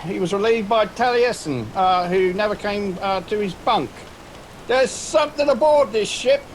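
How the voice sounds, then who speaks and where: A male actor as a superstitious sailor in a horror radio play. His voice is extremely high for a man, uneasy and hesitant. Rain and ambient noise run behind him. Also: moderate pace, close to an everyday voice, poor audio. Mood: fearful. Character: frightened, superstitious sailor, the ship's mate. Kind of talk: horror radio drama acting.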